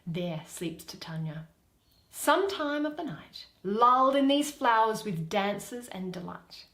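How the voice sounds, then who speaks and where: A woman playing a stately, scheming male fairy king, reading Shakespearean verse in a lyrical, measured way. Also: mid-range voice, lively intonation, a bit bright, reverberant. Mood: lyrical, dreamy.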